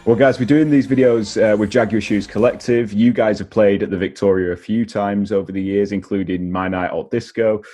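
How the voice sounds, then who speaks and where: Male host, lowish voice, informal interview style, music underneath. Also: steady tone, a little fast, few pauses, mellow. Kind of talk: casual interview. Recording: music playing behind the voice.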